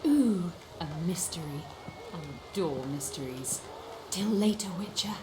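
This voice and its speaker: A woman voicing a brisk, sly female circus leader in a video game, theatrical, haughty and sharp-witted. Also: lowish voice, animated, noisy background. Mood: playful, sly. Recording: echoey game scene with music.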